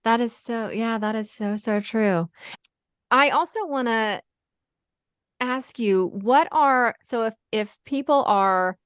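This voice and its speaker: A woman's voice: a little high, slow pace, a bit bright, smooth, no room sound, clean studio sound. A woman hosting a podcast interview over a video call, agreeing often and asking her guest for tips. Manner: affirming, frequent short agreements. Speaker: podcast host.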